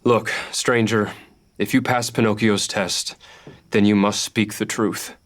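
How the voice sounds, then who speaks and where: Man acting guarded, earnest young hero, lowish voice. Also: steady tone, measured pace, close to an everyday voice. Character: brave, guarded young male thief hero Jack. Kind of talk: table read of a fairy-tale script.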